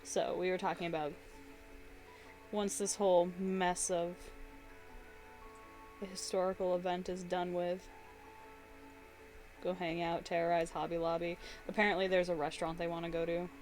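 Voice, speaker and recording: A woman's voice: on the low side, little pitch movement, normal speed, pauses often, a little mellow, soft background music, rough recording. A young woman chatting casually while drawing on a live stream, rambling through stories and laughing often.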